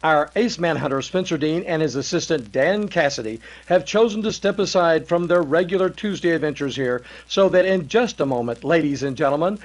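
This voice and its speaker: A man acting as an old-time radio announcer from the 1930s, pitched high for a male voice. The delivery is earnest and formal, with the polish of a salesman reading scripted copy. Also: close to an everyday voice, rough recording.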